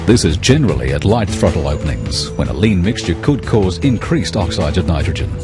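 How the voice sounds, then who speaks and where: A man reading scripted technical narration for an educational film in a measured, explanatory, impersonal manner. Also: low-pitched, very animated, over music.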